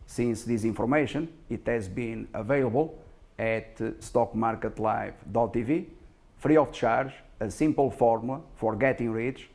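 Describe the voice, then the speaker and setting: A man who is not a native English speaker giving self-promotional stock market commentary, speaking in a halting but emphatic, self-assured way. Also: medium pitch, a little mellow. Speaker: stock market analyst and presenter.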